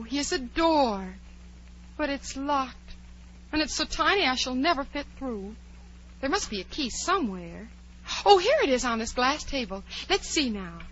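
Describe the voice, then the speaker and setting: A woman's voice: sits high, a little hiss or hum. An actress playing a curious, dreamy, chatty little girl who wonders aloud in a 1940s radio drama. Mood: wistful, puzzled. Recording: muffled old 1940s radio recording.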